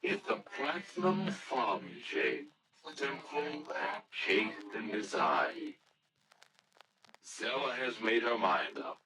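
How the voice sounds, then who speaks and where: A man narrating a scripted story in a theatrical, deliberate old-time radio style. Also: medium pitch, sing-song, pushed and bright, poor audio.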